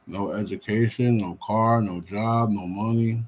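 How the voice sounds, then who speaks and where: Weary husky-voiced man in his fifties. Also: on the low side, steady tone, measured pace, darkish tone. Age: 50s. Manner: weary and venting, swearing freely. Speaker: livestreamer. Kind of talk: casual rambling live-stream monologue.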